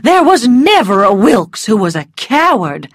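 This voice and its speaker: Woman doing bossy older matron, loud scolding voice, storybook. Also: fairly high pitch, sing-song, measured pace, dry recording, clean studio sound, pitched above the performer's own voice. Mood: indignant. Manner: scolding, commanding.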